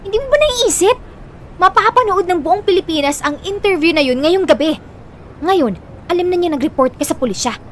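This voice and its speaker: A woman's voice: very high voice, expressive, brisk, a bit bright, slight background noise. A woman with a Filipino accent playing a bossy, money-minded younger sister in an audio drama, blunt and sassy as she bickers. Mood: scolding.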